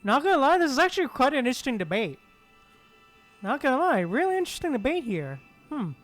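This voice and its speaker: Lively, casual game streamer. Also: high voice, quick pace, faint music behind. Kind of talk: casual gameplay commentary.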